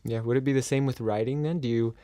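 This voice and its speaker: A man's voice: medium pitch, fairly even intonation, fast, mellow, no echo. A man with an American accent co-hosting a casual podcast, asking questions in informal, tentative phrasing. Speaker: podcast co-host.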